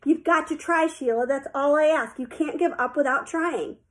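A woman's voice: sits high, moderate pace, fairly bright tone. A woman voicing an encouraging, insistent male swimming teacher in a children's story, coaxing and emphatic.

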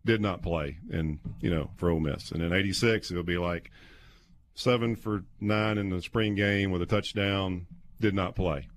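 A low-pitched man. He talks like a radio guest swapping old stories, taking his time and pausing as he goes. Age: 50s. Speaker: guest, former college quarterback. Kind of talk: casual sports radio storytelling. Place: small padded radio studio. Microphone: close studio microphone with headset.

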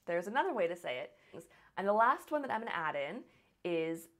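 A woman in her thirties from the United States, a nurse practitioner teaching medical English phrases in a scripted online lesson, saying each model sentence slowly and deliberately. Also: fairly low pitch, sing-song. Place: small home office with a green wall.

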